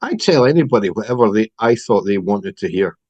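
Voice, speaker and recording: Middle-aged Scottish man, low and dry, slow reflective storyteller. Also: very animated, mellow, husky, no room sound, muffled recording. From Scotland. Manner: reflective, halting, many ums. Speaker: podcast guest. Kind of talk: personal recovery story.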